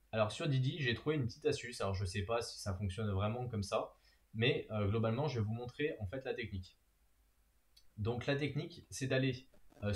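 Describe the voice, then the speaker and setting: A man vlogging practical travel advice in a casual, explanatory way, often pausing on filler sounds. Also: mid-range voice. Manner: explanatory, many filler hesitations.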